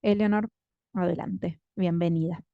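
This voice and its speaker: A woman's voice: lowish voice, steady tone, a little slow, vocal fry, no room sound. A woman coordinator introducing a guest speaker in an online class, friendly and chatty with many fillers. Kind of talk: spoken introduction in a video class. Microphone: video call. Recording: dull lo-fi call audio.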